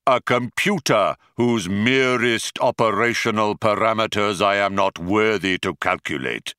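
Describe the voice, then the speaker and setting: A man voicing a patient, mild supercomputer in an audiobook, speaking in a measured, grandly declamatory manner. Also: fairly low pitch, pushed and bright, smooth, no echo, clean studio sound. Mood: solemn, declamatory.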